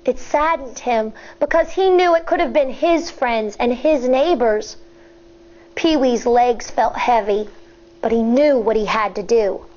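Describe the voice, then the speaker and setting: A woman with a high voice reads aloud like an elementary school teacher to young listeners. Her delivery is expressive and deliberate, shaped for storytelling and explanation. The recording sounds slightly dull and lo-fi. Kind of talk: children's read-aloud with teaching asides.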